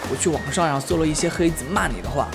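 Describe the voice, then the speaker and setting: An actor playing an excitable, naive young male idol in a comic audio drama, expressive and flustered, with music underneath. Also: mid-range voice, quick pace, creaky, lightly acted. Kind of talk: audio drama acting, comedic.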